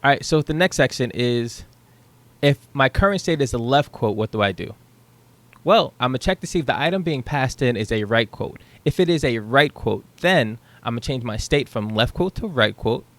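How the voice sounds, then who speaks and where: A man's voice: mid-range voice, animated, moderate pace, a bit bright, dry recording. A young man casually walking through his code, thinking aloud with the odd um and a questioning "right?", recorded on a headset in a small room at home. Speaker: programming tutor. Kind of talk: casual screen-share code walkthrough.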